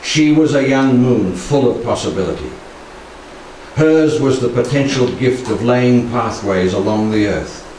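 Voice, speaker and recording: A man in his sixties reciting poetry solemnly, with measured, dramatic phrasing and pauses, into a handheld microphone in a room. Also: medium pitch, expressive, darkish tone. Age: 60s. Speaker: poetry reader. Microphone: handheld stage microphone. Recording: very echoey room, distant, faint noise.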